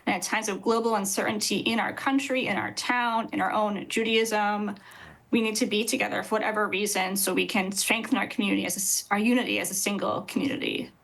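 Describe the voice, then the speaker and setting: A woman in her thirties, a rabbi, giving measured, earnest interview answers over a video call from an office. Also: mid-range voice, level tone, creaky, a bit bright, poor audio. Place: indoor office with bookshelves.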